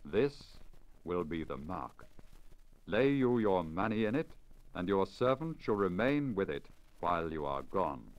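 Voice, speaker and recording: A man's voice: deep voice, big pitch swings, a little slow, highs cut off. A man voicing a stately head man who formally declares terms in a 1950s radio story, on a crackly old disc. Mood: solemn. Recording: crackly deteriorated 1950 lacquer disc recording.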